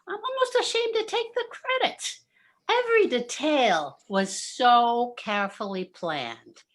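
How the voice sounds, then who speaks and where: A woman's voice: medium pitch, fairly bright tone, full character voice. A woman playing a scheming, gloating nightclub boss in a campy noir radio play. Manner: theatrical, gloating. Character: scheming brassy female nightclub boss Sal, noir gang leader.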